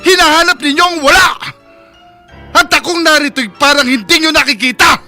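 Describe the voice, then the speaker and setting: A man's voice: extremely high pitch, normal speed, sharp tone, faint music behind, heavily acted. An actor playing a bitter, wounded middle-aged husband and father betrayed by his wife, emotional and accusing, in a radio drama. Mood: hurt, pleading. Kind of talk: Tagalog radio drama acting.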